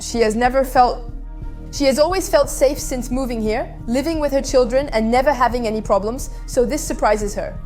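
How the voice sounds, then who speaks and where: A woman telling her own story in a casual interview, animated and conversational, with many fillers. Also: high-pitched, moderate pace, soft background music. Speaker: guest.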